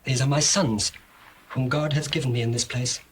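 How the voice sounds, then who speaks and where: An actor playing a dutiful, authoritative governor of Egypt and respectful son in a scripture drama, earnest and measured. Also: male voice, medium pitch, steady tone, husky, natural voice.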